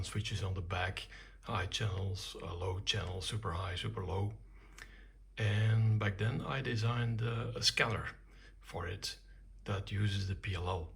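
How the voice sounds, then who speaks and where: An older man with a low, very husky voice presents a hobby electronics project. His delivery is informal and halting, moving in short, measured phrases with a gravelly creak. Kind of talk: informal technical demonstration.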